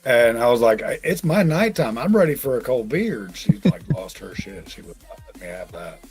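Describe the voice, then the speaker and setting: A man's voice: mid-range voice, sing-song, moderate pace, slightly rough, soft background music. A man in his forties hosting a live trading stream, chatting casually and telling rambling, joking stories.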